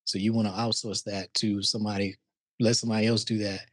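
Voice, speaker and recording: A man's voice: on the low side, flat delivery, fast talker, breathy edge, no echo. A man in his forties coaching a guest on a video call from his living room, direct and pushing. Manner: direct, pushing, repeats words. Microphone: studio mic on arm, video call.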